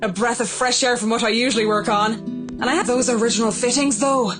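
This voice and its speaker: A woman's voice: fairly high pitch, steady tone, brisk, music in the background, lightly acted. An actress playing a poised, guarded yet passionate professional woman in a visual novel, speaking politely and formally in articulate sentences. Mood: enthusiastic.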